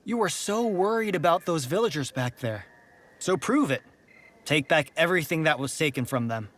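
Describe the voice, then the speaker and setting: An actor playing a brash, flirtatious outlaw who fights for the poor, in video game cutscene style. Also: male voice, on the high side, lively intonation, moderate pace, fairly bright tone. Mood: insistent. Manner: brash, teasing, foul-mouthed. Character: cocky roguish male outlaw, flirtatious, righteous toward the poor. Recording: music behind the voice. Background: music.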